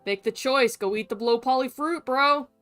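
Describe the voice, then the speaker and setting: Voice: high-pitched, moderate pace, fairly bright tone, faint music behind. A streamer giving casual, blunt, sweary commentary while playing a video game.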